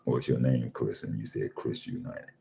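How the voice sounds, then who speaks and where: A man with a very deep voice hosting a live chat room, calmly greeting listeners one by one and talking in a style. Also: creaky, slight background noise. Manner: slow, calm, repeats himself, reads names off a screen.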